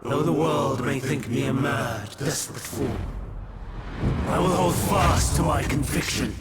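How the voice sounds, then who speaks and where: A man's voice: medium pitch, unhurried, close to an everyday voice. An actor playing a desperate, unyielding man of conviction in a video game, speaking in formal, declamatory lines. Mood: defiant, resolute. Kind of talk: dramatic video game voice acting. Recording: loud music behind the voice.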